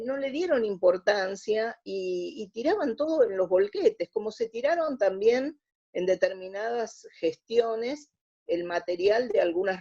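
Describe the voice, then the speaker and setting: A woman's voice: mid-range voice, dark tone, husky, dull audio. An Argentine woman answering as an interview guest in a conversational, explanatory way, often rephrasing mid-sentence. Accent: Argentine Spanish. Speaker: interview guest, radio drama researcher.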